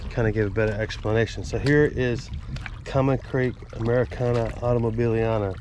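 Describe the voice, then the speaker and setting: A man's voice: medium pitch, steady tone, a little fast, darkish tone, a little hiss or hum. A man with a Southern accent and a stuffed-up nose, narrating casually and rambling in a folksy, self-amused way while wading through a creek. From Alabama. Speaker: creek explorer vlogger. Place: outdoors wading in a city creek.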